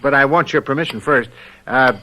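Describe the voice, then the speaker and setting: Bright-voiced, brisk game warden, acted by a man. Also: mid-range voice, lightly acted, low-quality recording. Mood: businesslike, impatient. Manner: matter-of-fact, plain-spoken. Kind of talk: old-time radio drama acting.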